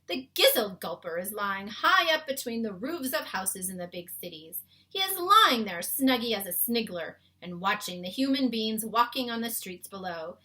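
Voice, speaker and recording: An earnest, friendly giant from a children's storybook, acted out by a woman reader. The delivery is loud and emphatic, swinging up and down in pitch with a bright edge. The talk is folksy and muddled. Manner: emphatic, mangled homespun grammar. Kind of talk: children's storybook read-aloud.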